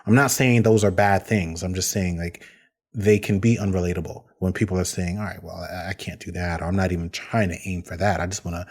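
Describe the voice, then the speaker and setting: A man co-hosting a personal finance podcast, talking in a relaxed, conversational way with frequent fillers and restarts. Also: deep voice, brisk, husky. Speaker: podcast co-host. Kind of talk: casual podcast chat.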